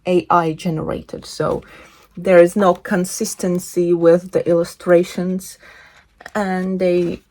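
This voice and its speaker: A woman's voice: lowish voice, level tone, takes it slowly, dark tone. Woman chatting casually about books. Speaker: book reviewer and video host. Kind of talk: casual chat to camera, book talk.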